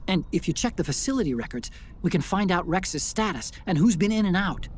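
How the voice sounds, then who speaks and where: An actor playing an earnest, excitable, chatty tech sidekick in a video game. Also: male voice, high voice, a little fast, a little hiss or hum. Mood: businesslike. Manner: chatty, animated, teasing. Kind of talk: video game cutscene acting.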